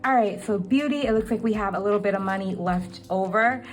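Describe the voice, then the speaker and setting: A woman's voice: medium pitch, fairly quick, soft background music. A young woman talking through her monthly budget in a casual vlog, chatty and full of ums, her voice a little cracked. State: slightly cracking voice. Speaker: budgeting vlogger.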